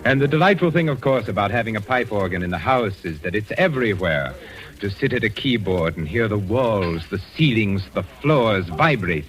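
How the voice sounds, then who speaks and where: An old radio drama recording with music faintly behind. a cultured Victorian gentleman widower, low and very animated in pitch. He speaks with formal, rhapsodic courtesy, like a man obsessed with his art. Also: normal speed, low-quality recording. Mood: rapturous, suave. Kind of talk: 1940s radio acting.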